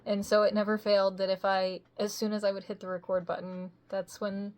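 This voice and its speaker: A woman's voice: mid-range voice, flat delivery, fairly quick, a little mellow. A woman, a voice actor with years of radio work behind her, chatting easily in an interview. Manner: chatty, easygoing storyteller. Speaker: voice actor guest. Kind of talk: casual interview chat.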